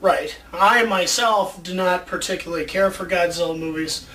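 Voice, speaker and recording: A man's voice: sits high, moderate pace, pushed and bright. A man co-hosting a casual video chat, rambling informally and joking along the way. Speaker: co-host of a video show about movie ideas. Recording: roomy, distant mic with background noise.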